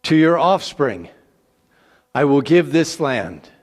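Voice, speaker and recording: A man's voice: a little high, big pitch swings, slow pace, big-room sound, full character voice. A man voicing God in a dramatic scripture reading, solemn, authoritative and emphatic in his declarations. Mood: proclaiming. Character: God, solemn authoritative male giving promises and commands.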